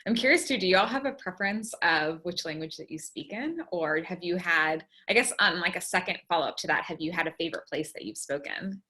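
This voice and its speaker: A woman's voice: lowish voice, brisk, few pauses, pushed and bright. A woman hosting a panel, upbeat and chatty as she asks questions. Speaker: panel host. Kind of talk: casual moderating. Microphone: video call.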